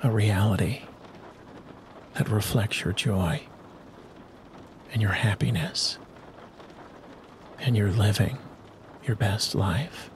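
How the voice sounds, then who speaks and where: A man narrating a guided sleep hypnosis in a soothing, unhurried manner with long pauses. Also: on the low side, a little hiss or hum. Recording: rain and thunderstorm sounds behind the voice. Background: rain and distant thunder ambience.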